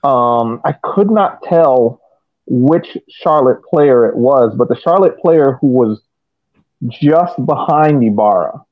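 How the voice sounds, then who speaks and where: A man's voice: mid-range voice, lively intonation, slow pace, narrow, tinny audio. A man co-hosting a sports podcast, giving casual, analytical commentary on a soccer match over thin call audio.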